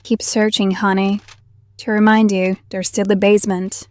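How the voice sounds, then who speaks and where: A woman's voice: mid-range voice, level tone, normal speed, a little mellow, no room sound, studio quality, lightly acted. A woman playing a protective, wistful half-banshee, half-necromancer outcast who speaks confidingly in an audio drama. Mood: protective, steady. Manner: calls the younger one honey, confiding.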